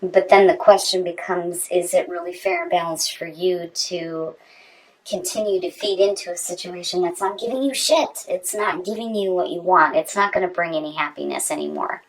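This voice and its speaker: Low-voiced woman, distant from the mic. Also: moderate pace. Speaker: tarot reader. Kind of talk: conversational tarot reading addressed to the viewer.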